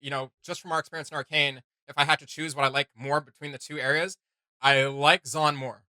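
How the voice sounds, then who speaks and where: A man co-hosting a casual reaction video, rambling with lots of fillers and quick laughs. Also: a little high, level tone, pushed and bright, smooth, no echo.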